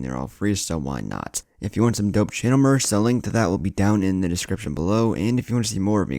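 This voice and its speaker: A man's voice: sits low, gravelly, a little mellow, no room sound, clean studio sound. A man narrating a scripted dramatic story as the host of a story channel. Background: faint music.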